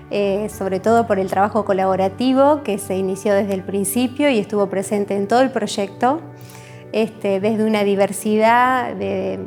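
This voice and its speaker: A woman's voice: medium pitch, a little mellow. Argentine woman explaining, music behind her. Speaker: communicator interviewed about a university project. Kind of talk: explanatory interview talk.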